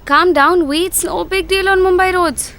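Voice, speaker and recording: A woman's voice: very high voice, fairly even intonation, moderate pace, a bit bright, a little hiss or hum. An actress playing a witty, frank young woman who is hard of hearing, in scripted dialogue and reflective narration, with a wry manner. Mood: urgent, exasperated. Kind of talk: scripted dramatic dialogue and first-person narration.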